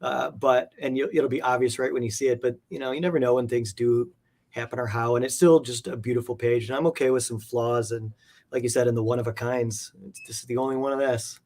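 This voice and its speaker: A man with a medium-pitched voice talks as a guest in a casual interview. He sounds like a keen collector, conversational and enthusiastic, and he rambles a little as he goes. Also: fairly even intonation, a little fast, darkish tone. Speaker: guest, comic art collector.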